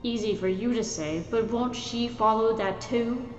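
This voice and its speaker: A woman playing a big young tomcat, new to the clan and quick to get defensive, his voice pitched up when riled. The recording is muffled and lo-fi, with faint music underneath. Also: expressive, a little mellow, reverberant, long reverb tail, natural voice. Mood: indignant. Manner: indignant, hissing. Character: large male tomcat newcomer, defensive.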